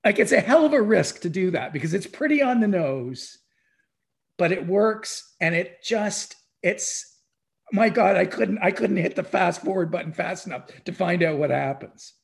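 A man on a podcast recounting things casually but emphatically, with dramatic pauses and repeated phrases. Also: high voice, animated, creaky. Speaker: podcast speaker. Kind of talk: casual podcast discussion, retelling a documentary.

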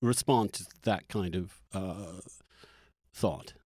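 A man hosting a conversational interview, phrasing questions in a halting, thoughtful way. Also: low-pitched, darkish tone, husky, dry recording, studio quality. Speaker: interviewer, host.

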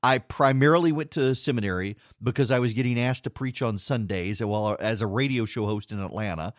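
A man's voice: mid-range voice, a little fast, dry recording, clean studio sound. A man who hosts a radio show, talking about his faith in a reflective, self-deprecating way and often repeating words as he goes. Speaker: radio host. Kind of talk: reflective personal talk on faith.

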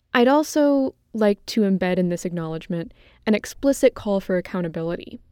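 Mellow, clear-voiced woman podcast host. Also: mid-range voice, no echo, studio quality. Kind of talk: scripted podcast narration.

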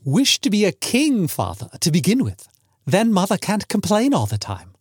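Man acting a cheeky, lively young son. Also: medium pitch, normal speed, no room sound, studio quality. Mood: playful. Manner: teasing, mock-serious.

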